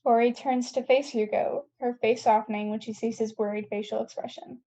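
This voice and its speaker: Mellow higher-pitched woman narrator. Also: steady tone, a little fast, highs cut off. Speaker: narrator reading stage directions. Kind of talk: scripted narration at a table read.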